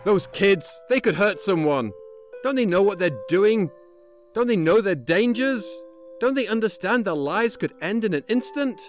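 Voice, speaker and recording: A man plays a lonely old fellow in a 1940s-style radio drama. His voice sits a little high, his talk is folksy and worried, and music plays prominently behind him. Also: lively intonation, unhurried. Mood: alarmed, scolding. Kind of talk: 1930s and 40s style radio drama acting. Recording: music playing over the voice.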